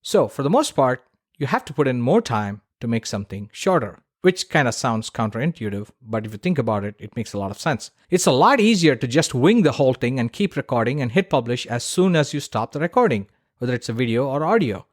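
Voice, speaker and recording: Casting: male solo podcaster, medium pitch, very dry recording, informal and opinionated, punches key points bluntly. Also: big pitch swings, a little fast, no room sound. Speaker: podcast host.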